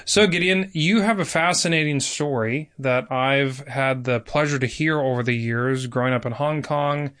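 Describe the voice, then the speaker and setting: A man's voice: medium pitch, normal speed, few pauses, a bit bright. A man hosting a podcast in a casual, chatty and informal interviewing style.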